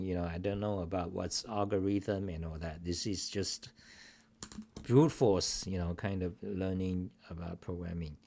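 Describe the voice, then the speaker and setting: Middle-aged male host, deep and unhurried, rambling, profane, grumbling. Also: darkish tone. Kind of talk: casual screen-share talk show. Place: home desk, indoors.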